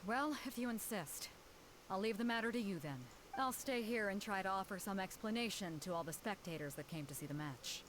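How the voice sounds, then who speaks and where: A woman voicing a brisk young tournament announcer in a video game, with a theatrical delivery. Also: mid-range voice, expressive, noisy background, natural voice. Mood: worried, flustered. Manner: theatrical, proclamatory.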